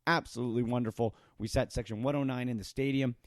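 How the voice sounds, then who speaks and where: Raspy, excited man hosting a sports podcast. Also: mid-range voice, no room sound, studio quality. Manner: enthusiastic, talks fast with fillers. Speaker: podcast host. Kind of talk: casual sports chat.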